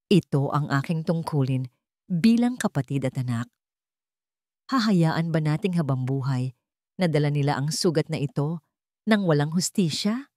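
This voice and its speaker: A woman's voice: deep voice, dark tone, no echo, clean studio sound. A woman voicing a brave, determined young daughter in a dramatic story, earnest and resolute.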